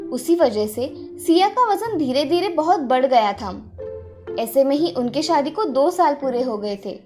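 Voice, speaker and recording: A woman's voice: sits high, a little mellow. A woman storyteller reading scripted narration for a moral tale.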